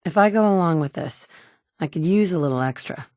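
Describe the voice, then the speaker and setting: Actress playing a young mother hunting for her stolen son. Blunt, dry sarcasm, slightly low voice, dry booth recording. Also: expressive, brisk, darkish tone, no room sound, lightly acted. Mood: sardonic. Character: determined young mother searching wasteland for kidnapped son. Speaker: player character voice actress. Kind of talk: video game voice lines. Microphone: studio mic.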